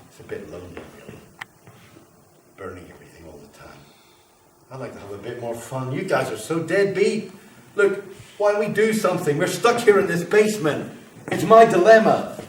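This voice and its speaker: A man's voice: medium pitch, close to an everyday voice. An actor playing a volatile, boastful yet lonely man who believes he is fire, in a rambling theatrical monologue that swings between grandiose and apologetic. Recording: echoey room, distant mic, faint noise.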